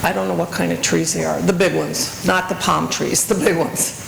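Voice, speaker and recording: A woman's voice: fairly low pitch, steady tone, normal speed, gravelly. A woman who is losing her voice speaks earnestly and a little anxiously as a resident at a community meeting. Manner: earnest, anxious requests with restarts. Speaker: resident speaking at public comment. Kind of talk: public comment at community meeting. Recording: echoey meeting room with background noise.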